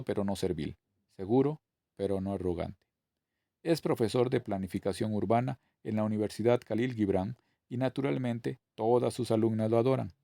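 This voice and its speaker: A man's voice: lowish voice, creaky, mellow, dry recording, clean studio sound. A man reading a novel aloud as scripted narration. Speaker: audiobook reader.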